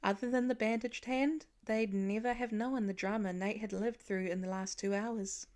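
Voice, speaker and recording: A woman's voice: mid-range voice, steady tone, moderate pace, a little mellow. A woman reading a story aloud as an audiobook narrator in scripted narration.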